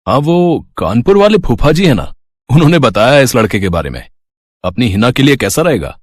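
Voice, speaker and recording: A man's voice: mid-range voice, very animated, normal speed, no room sound, studio quality. A man voicing an affectionate middle-aged husband and father in a story, teasing and indulgent. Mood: eager, chatty.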